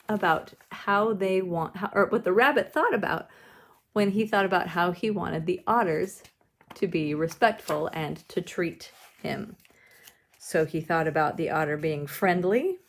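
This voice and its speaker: A woman teaches a drawing exercise step by step at a very slow pace. Her medium-pitched voice has a noticeable creak. She explains things simply and encouragingly, as if for children. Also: slight background noise. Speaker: art teacher leading a drawing lesson. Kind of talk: instructional demonstration, step-by-step.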